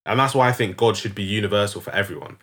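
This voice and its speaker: A young man, chatting on a casual podcast. His voice sits a little low, and he talks things through reflectively, at length. Swearing comes easily to him. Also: very fast, a bit bright. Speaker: podcast co-host.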